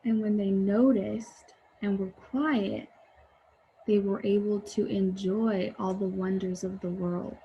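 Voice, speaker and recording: A woman's voice: mid-range voice, fairly even intonation, slow, vocal fry, lo-fi sound. A woman teacher reading a storybook aloud and explaining it simply to young children. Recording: faint background noise.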